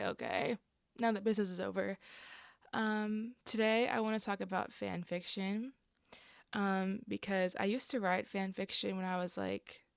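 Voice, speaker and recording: A young woman with a raspy, gravelly voice talks loosely and candidly, with plenty of "um"s, "like"s and swearing. Her hoarseness sits over a smooth, resonant tone, and the sound is close and dry with no room around it. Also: mid-range voice, no echo. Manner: casual and candid, with frequent fillers and swearing. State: hoarse from an allergic reaction. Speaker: vlogger. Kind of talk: casual chat to camera.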